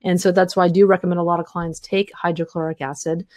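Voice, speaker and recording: A woman's voice: fairly low pitch, level tone, darkish tone, dry recording, studio quality. A woman hosting a live health webinar, answering listener questions at length with frequent fillers; she is tired and losing her voice. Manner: talks fast in long run-on answers with many fillers.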